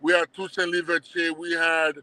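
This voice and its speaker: Haitian guy, kinda high bright voice, animated storytelling with lots of slang and cussing. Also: moderate pace, no room sound. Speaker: guest, documentary producer. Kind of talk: casual livestream interview.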